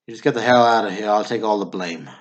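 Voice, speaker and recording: A man playing a gruff, weary, laconic in a stage drama, with a terse, deadpan delivery. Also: lowish voice, fast, lo-fi sound, close to an everyday voice. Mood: curt, resigned.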